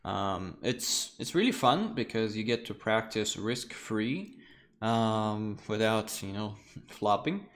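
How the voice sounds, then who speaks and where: A young man with Russian as his first language talks through an art lesson live. His pitch swings widely, and he keeps it loose and chatty with frequent laughs into a desk mic. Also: takes it slowly, a little hiss or hum. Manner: animated, chatty, laughs often, loose wording. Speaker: art lecturer streaming a class. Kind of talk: casual livestream lecture.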